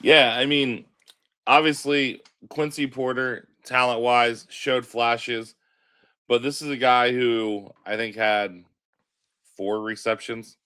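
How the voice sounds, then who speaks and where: A man hosting a casual sports podcast, sounding stuffy from a cold, chatting in a relaxed way. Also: mid-range voice, takes it slowly, clear, resonant tone. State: stuffy, congested. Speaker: podcast host.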